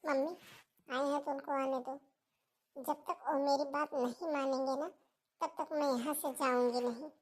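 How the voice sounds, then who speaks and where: Woman acting a high-voiced stubborn young wife. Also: steady tone, normal speed, natural voice. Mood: defiant. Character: stubborn, complaining young married daughter. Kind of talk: Hindi cartoon family drama dialogue.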